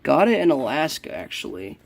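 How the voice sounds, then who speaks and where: Young man, average pitch, fry-heavy voice, casual vlog delivery, rambling, self-correcting. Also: big pitch swings, fairly quick, background noise. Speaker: radio collector showing a collection. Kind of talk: casual show-and-tell vlog. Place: small cluttered room indoors.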